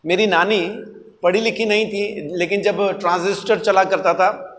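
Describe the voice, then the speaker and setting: A male preacher with a notably high voice, recorded with long hall reverb. He delivers a sermon emphatically, posing questions and repeating key words as he teaches. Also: fairly even intonation, moderate pace, a bit bright. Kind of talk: sermon with rhetorical questions and everyday anecdotes.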